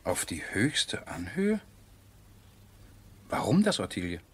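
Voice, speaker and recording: An actor playing an enthusiastic, impulsive, amiable gentleman landowner in a radio drama. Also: lowish voice, wildly swooping pitch, takes it slowly, breathy edge, heavily acted. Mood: coaxing, excited. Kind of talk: German radio drama acting.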